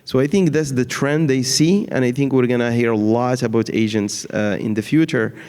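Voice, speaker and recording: A man speaking off the cuff as an expert panelist, in a halting way as he searches for words. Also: mid-range voice, fairly even intonation, a little mellow, clear, resonant tone. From Morocco and France. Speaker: panelist, data science leader in healthcare. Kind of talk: unscripted panel talk. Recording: echoey hall with long reverb.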